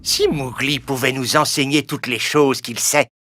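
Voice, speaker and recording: A woman voicing a scheming, eager jungle monkey with a husky voice in a children's storybook. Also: very deep voice, steady tone, unhurried, pitched below the performer's own voice. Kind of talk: storybook cartoon dialogue.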